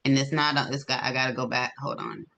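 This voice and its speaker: Bright, forceful woman leading a conference call. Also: extremely low pitch, very animated, quick pace, creaky. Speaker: conference organizer and host. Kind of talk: informal presentation to a group on a conference call.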